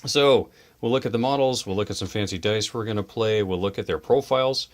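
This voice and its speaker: A man hosting a tabletop wargame show, chatting casually and enthusiastically about models, dice and rules. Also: lowish voice. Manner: chatty, enthusiastic, quick asides. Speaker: host of a tabletop wargame channel.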